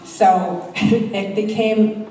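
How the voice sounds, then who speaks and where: A woman's voice: mid-range voice, fairly even intonation, very slow, warm tone. An older woman introducing and reciting her own poetry to a live audience at a church talent show. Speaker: amateur poet reading own poems. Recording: very echoey hall, distant mic, faint noise.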